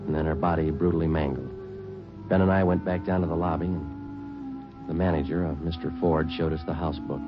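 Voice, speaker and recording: An actor playing a stoic American homicide detective in a 1940s radio drama, deadpan, terse and businesslike. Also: male voice, low voice, lightly acted. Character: stoic, matter-of-fact male homicide police detective. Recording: dull old radio recording, music behind.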